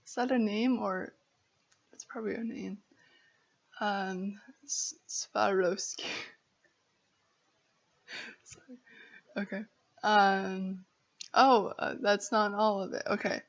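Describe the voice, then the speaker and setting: An American woman vlogger from Arizona with a stutter, chatting casually to camera in a halting, apologetic, giggly way. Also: mid-range voice, steady tone, highs cut off. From Arizona, United States.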